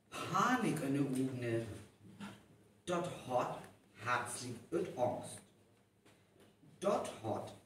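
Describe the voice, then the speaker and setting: A man's voice: medium pitch, a bit bright, heavily acted. A man playing a clinical investigator in a live North Frisian radio play, giving ponderous, clipped report-style phrases. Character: clinical male investigator dictating a post-mortem report. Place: live performance in a hall. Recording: echoey hall, distant, some noise.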